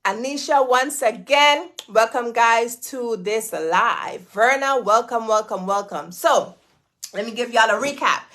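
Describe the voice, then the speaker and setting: A woman's voice: fairly high pitch, measured pace, a bit bright. A woman hosting a casual livestream, greeting viewers by name and repeating herself in a chatty, hyped-up way, talking into her phone. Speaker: host of a livestream. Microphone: phone camera.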